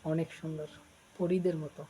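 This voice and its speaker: A man's voice: a little high, little pitch movement, moderate pace, mellow, husky. A man with a Bangladeshi accent making a teasing, flirtatious casual prank phone call, talking coaxingly. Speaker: prank caller.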